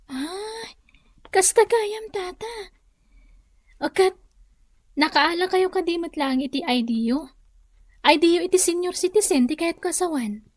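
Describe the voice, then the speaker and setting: A woman's voice: extremely high pitch, unhurried, long pauses, dry recording. An actress playing a helpful, respectful young woman in an Ilocano radio drama, politely explaining things with an Ilocano accent. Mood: patient, explaining. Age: young adult.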